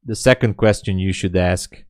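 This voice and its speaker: Deep-voiced man chatting on a live stream. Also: moderate pace, no echo, studio quality. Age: 30s. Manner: chatty, answers viewer comments; candid and self-deprecating. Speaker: day trader streaming live. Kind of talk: casual livestream chat.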